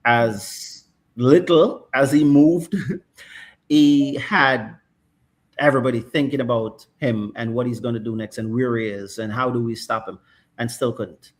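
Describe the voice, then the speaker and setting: A man's voice: mid-range voice, fairly even intonation, a little slow. A middle-aged man analysing football in casual chat, thoughtful and checking in with "right?" often. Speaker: football pundit.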